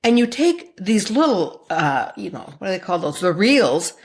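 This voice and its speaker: A woman's voice: medium pitch, big pitch swings, moderate pace. A woman hosting a podcast in a conversational interview style, speaking with an effusive, emphatic and affectionate manner. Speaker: podcast host.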